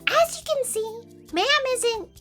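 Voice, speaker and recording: A woman's voice: extremely high pitch, animated, slow, bright, forceful tone, faint music behind, exaggerated voice. A woman playing an earnest, dutiful and formal office aide in a comic sci-fi audio drama. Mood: pleading, earnest.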